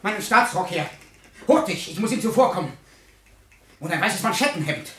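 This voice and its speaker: Casting: cantankerous older working father, cursing bluster, very animated delivery, vintage radio play. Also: sits high, moderate pace. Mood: irate, flustered. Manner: gruff, cursing, colloquial bluster. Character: blustering, hot-tempered older town musician, a father. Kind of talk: 1950s German radio play acting.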